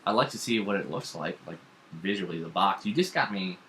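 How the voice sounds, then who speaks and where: A man's voice: low voice, expressive, brisk, pushed and bright, a little hiss or hum. A young man co-hosting a casual chat vlog in a home kitchen, talking in hesitant, stop-start phrases. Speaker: vlog co-host. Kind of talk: casual chat about comics and horror films.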